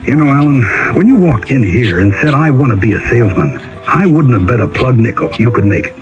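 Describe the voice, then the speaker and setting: An actor playing a frank, folksy middle-aged boss in a conversational radio public service spot. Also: male voice, mid-range voice, expressive, a little fast, lo-fi sound, music in the background, lightly acted. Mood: candid. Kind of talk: acted public service announcement.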